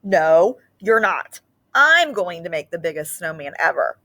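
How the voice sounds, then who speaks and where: A woman's voice: on the low side, very animated, measured pace, pushed and bright, no echo, exaggerated voice. A woman voicing a stubborn, competitive young field mouse in a storybook. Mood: defiant.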